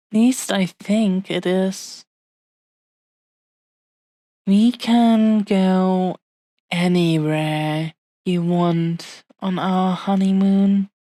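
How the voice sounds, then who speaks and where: A woman playing an adoring, possessive dragon-blooded fiancée in an intimate romantic roleplay audio, speaking soothingly with lingering pauses. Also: medium pitch, dark tone, dry recording. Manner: slow, lingering, soothing, affectionate delivery with long pauses.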